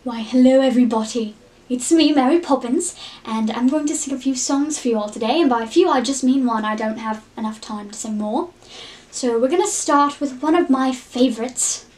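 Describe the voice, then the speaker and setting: A woman's voice: sits high, normal speed, darkish tone, lightly acted. A woman doing an impression of a mature, prim and cheery British nanny from a musical film, proper and polite.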